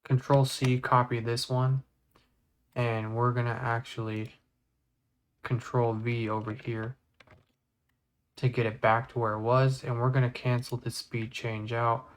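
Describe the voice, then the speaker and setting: A man with a mid-range voice talks through a software tutorial. He is casual and works step by step, often thinking aloud as he goes. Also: medium pitch, little pitch movement, a little slow, long pauses. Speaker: video editing tutorial presenter. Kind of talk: casual screen-recorded tutorial.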